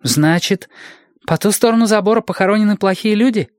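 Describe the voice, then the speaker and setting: A man's voice: high-pitched, a little fast, no room sound, studio quality, pitched above the performer's own voice, lightly acted. A man voicing an inquisitive, obedient eight-year-old boy in a storybook, asking questions with curiosity. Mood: curious.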